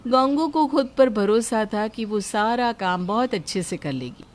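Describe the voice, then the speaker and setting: A woman's voice: fairly high pitch, lively intonation, unhurried. A woman reading scripted story narration as a storyteller.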